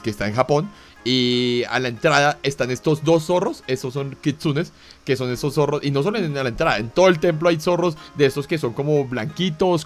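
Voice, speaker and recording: A man with a Colombian accent, co-hosting an anime talk show in a casual, chatty way, full of fillers and tag questions. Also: medium pitch. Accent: Colombian Spanish. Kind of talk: casual explanatory chat.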